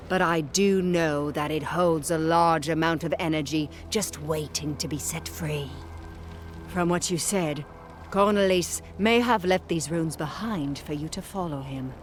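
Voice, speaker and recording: A woman's voice: sits low, measured pace, soft background music. A woman playing an earnest, cautious ally who gives solemn guidance in a game cutscene. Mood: grave, helpful. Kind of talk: video game cutscene acting.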